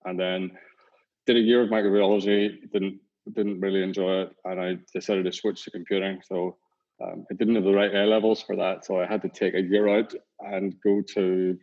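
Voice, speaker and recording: Middle-aged Northern Irish man, founder being interviewed, lowish voice, rambling and easygoing, dull lo-fi sound. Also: normal speed. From Northern Ireland. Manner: relaxed, rambling, many fillers and restarts. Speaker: company founder and CEO, guest. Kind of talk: casual interview talk. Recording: dull lo-fi audio.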